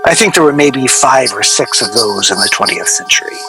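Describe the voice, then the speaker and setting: An actor playing a wry sound recordist, telling his story in a measured, articulate, deadpan way for a radio drama. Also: medium pitch, over music, close to an everyday voice. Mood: wry, reflective. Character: wry male sound recordist recalling an obsessive artist's failed project. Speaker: interviewee.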